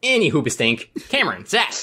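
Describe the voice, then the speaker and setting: A man's voice: a little high, huge pitch swings, a little fast, pushed and bright, breathy edge. A man co-hosting a podcast, reading skit lines and chatting casually with a playful, jokey manner. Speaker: podcast co-host and writer. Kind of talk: casual chat and scripted skit read live.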